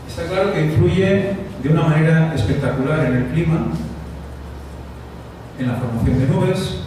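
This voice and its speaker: A man from Spain with a Spanish accent, giving a conference talk with frequent pauses and "eh" fillers. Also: fairly high pitch. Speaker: conference speaker. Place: conference hall. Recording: distant mic in echoey hall, noisy.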